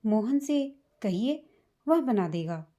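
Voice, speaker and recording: A woman voicing a frail, self-effacing older wife in an emotional story, gentle, weary and subdued. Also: on the high side, animated, slow pace. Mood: weak, gentle.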